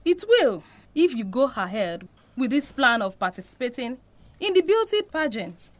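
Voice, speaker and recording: A woman's voice: high voice, big pitch swings, moderate pace, dry recording. A woman playing an anguished, devout Nigerian mother confessing a painful past in an audio drama. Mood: stern, pleading.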